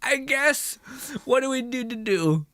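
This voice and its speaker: A man's voice: very high-pitched, animated, a little slow, background noise. A man livestreaming a game in casual chat, exasperated and swearing freely as he rants. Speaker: game streamer.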